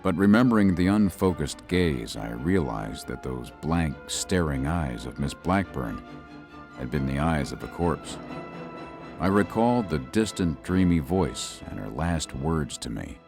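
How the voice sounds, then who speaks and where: An actor playing a young lawyer who narrates a ghost story in a measured way. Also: male voice, rumbling low, lively intonation, over music, exaggerated voice. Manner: measured, tells the story with rising unease. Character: young male lawyer recuperating in the country. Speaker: narrator and lead.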